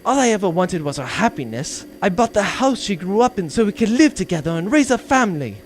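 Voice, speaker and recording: A man's voice: high voice, sing-song, fairly quick. A man voicing a self-pitying, pompous bounty hunter villain in a comic audio drama, full of aggrieved, long-winded speech.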